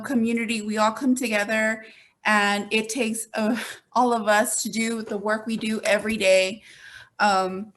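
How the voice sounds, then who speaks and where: A woman giving informal remarks as a child welfare representative at an online event, on a video call. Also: mid-range voice.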